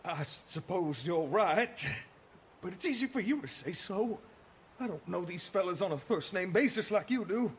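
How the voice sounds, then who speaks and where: A man voicing an anxious, folksy puppet mailman in a cartoon, easily flustered, with a husky voice and stammering manner. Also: sits high, expressive, moderate pace, slight background noise. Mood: defensive. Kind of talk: cartoon character voice acting.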